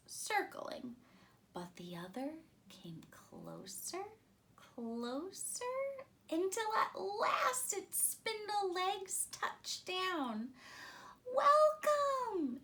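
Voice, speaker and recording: Slow, lively woman reading a storybook. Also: on the high side. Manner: deliberate, expressive phrasing. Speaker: storytime reader. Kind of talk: expressive children's picture book read-aloud.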